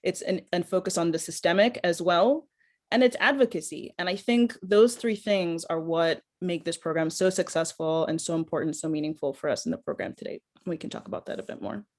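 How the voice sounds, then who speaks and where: A middle-aged woman speaking as a panel guest, her voice pitched somewhat low for a woman. She talks in an earnest, reflective way. She is heard over a video call. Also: a little fast, a little mellow. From Kenya. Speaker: panelist, public health researcher. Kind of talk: panel discussion.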